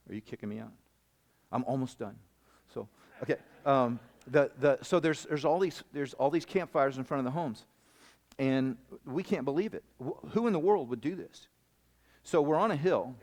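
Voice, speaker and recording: Male missionary speaker, middle pitch, rambling animated sermon storytelling. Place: camp meeting hall.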